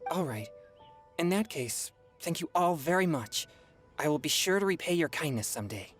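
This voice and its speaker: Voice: medium pitch, expressive, normal speed, soft background music, lightly acted. An actor playing a modest, earnest, polite youth in an anime video game, formal and apologetic. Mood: grateful.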